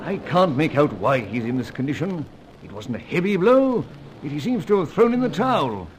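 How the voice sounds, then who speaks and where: Radio serial chief: polite, steely British gentleman, higher voice, formal exclamations, clearly noisy vintage recording. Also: sing-song, moderate pace. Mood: conversational. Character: courteous but firm upper-class British male spymaster. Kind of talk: old-time radio adventure serial acting.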